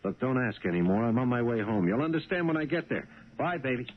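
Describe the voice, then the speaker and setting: A man acting an eager, folksy cab driver in a radio play, exclamatory and colloquial. His pitch leaps around freely, and the old broadcast is tinny with audible hiss and noise. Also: very animated, fast, natural voice. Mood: elated. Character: excitable working-class male taxi driver, honest and eager. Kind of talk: 1970s radio drama acting.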